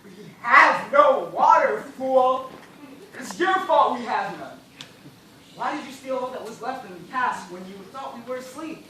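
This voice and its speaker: An actor playing a weary, formal gentleman castaway in an amateur stage play, declaiming with stubborn reason and despair. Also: very high voice, lively intonation, unhurried, exaggerated voice. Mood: angry, exasperated. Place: theatre stage, recorded from audience. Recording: echoey stage, distant mic, noisy.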